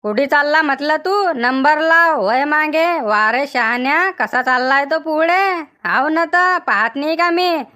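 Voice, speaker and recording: A high-pitched, piercing village woman from a cartoon, played by a woman. She scolds and bosses in a rural Maharashtrian accent, fiery and quick to insult. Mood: bossy, irritated. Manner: sharp-tongued, bossy, scolding. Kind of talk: comic cartoon dialogue.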